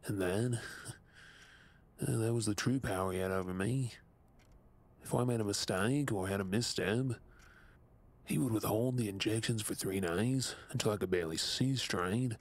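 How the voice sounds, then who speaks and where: An Australian man acting a tormented, guilt-ridden captive mutant in an audio drama, speaking in a husky, breathy, subdued and halting way. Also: fairly low pitch. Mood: weary, haunted.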